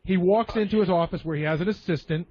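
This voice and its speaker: A man's voice: high-pitched, fast, no echo. A man explaining his opinions bluntly in a casual talk radio discussion. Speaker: radio show staff member and panelist. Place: radio studio. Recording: slightly dull, lo-fi radio audio.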